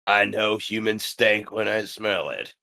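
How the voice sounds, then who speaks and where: Low, gravelly man in casual movie commentary. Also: a little slow, pushed and bright. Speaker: commentary participant. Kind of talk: casual film commentary chat.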